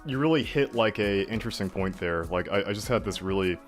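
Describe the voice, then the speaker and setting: A deep-voiced man tells stories in an animated, easygoing way, packing his sentences with casual fillers. Faint music plays underneath. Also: a little fast. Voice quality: deeper than average voice. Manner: animated storyteller, filler-heavy, laughs easily. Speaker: guest artist. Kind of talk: casual video call chat.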